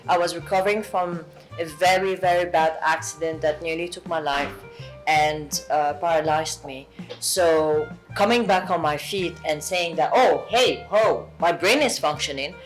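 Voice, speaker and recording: A woman from England with a Southwest English accent, a guest actress speaking earnestly in a casual chat, often halting and restarting. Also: sits low, soft background music, poor audio.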